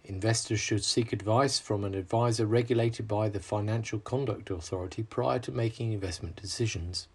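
A man reading a scripted legal disclaimer in an even, formal way. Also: fairly low pitch, fairly quick, few pauses, breathy edge. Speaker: disclaimer reader. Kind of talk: scripted read.

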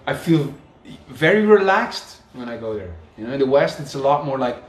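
A man from Belgium chatting casually, reflective and relaxed, with "you know" in nearly every sentence. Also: medium pitch, normal speed. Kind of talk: casual conversation. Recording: echoey room with faint background noise.

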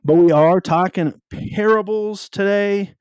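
Deep-voiced man, easygoing podcast talk. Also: measured pace, darkish tone, clear, resonant tone, dry recording. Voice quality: deep, carrying voice. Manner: easygoing, explains with many ums. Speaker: podcast co-host. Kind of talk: casual podcast Bible discussion.

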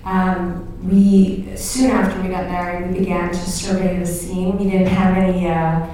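A woman recounts her experiences in a live interview. She speaks in a measured way, pausing often with "um.". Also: on the low side, level tone, warm tone, a little hiss or hum. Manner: measured, with pauses and fillers. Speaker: co-host and Chabad emissary. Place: live recording before an audience. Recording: echoey room from far off.

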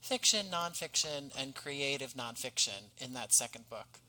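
A man speaking as an interview guest, articulate, measured and courteous in conversation. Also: medium pitch, expressive, pushed and bright, a little hiss or hum, poor audio. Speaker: podcast guest, playwright and writer.

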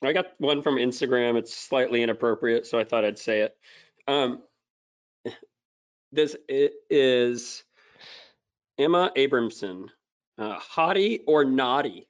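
A man's voice: medium pitch, lively intonation, measured pace, long pauses. A man co-hosting a running podcast, easygoing and upbeat, steering the questions. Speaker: podcast co-host. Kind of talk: casual podcast chat.

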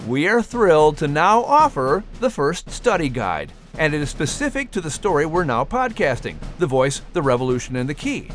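A man who narrates audiobooks, doing scripted announcing as a podcast host. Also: on the high side.

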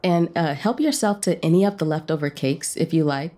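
A woman's voice: sits low, fairly quick, dark tone, natural voice. A woman acting as a friendly, teasing diner owner in a romance audio drama, with a casual, bantering manner. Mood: playful, friendly. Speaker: guest voice actor in an audio romance.

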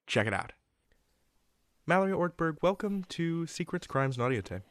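Animated American man hosting a podcast. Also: a little high, normal speed, dry recording, studio quality. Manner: animated, folksy, emphatic. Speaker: podcast host and interviewer. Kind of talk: chatty podcast introduction and interviewing.

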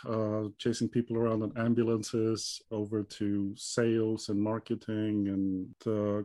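A man's voice: on the low side, mellow, no echo. A man from Iceland in his thirties, answering interview questions in a hesitant way, with many ums and restarts. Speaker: guest.

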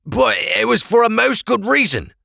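A man doing a shrill, pleading jailed merchant. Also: lively intonation, normal speed, vocal fry, bright, forceful tone, no echo, clean studio sound, heavily acted. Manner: high, strained and pleading. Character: frightened, pleading male merchant prisoner.

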